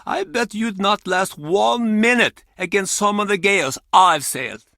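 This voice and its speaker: A man's voice: high voice, animated, unhurried, bright, forceful tone, dry recording, clean studio sound, heavily acted. A man playing a bitter, cranky ex-sailor in a video game, with a brusque, mocking, theatrical manner. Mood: bitter, brooding. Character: gruff, bitter Icelandic ex-sailor, cranky menacing male pub regular. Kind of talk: video game character acting.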